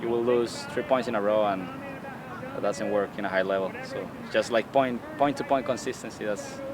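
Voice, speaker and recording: Young Colombian man, halting speech. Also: fairly low pitch, fairly even intonation, background noise. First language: Spanish. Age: young adult. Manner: halting, with many restarts and fillers. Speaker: college tennis player. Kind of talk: casual interview answers.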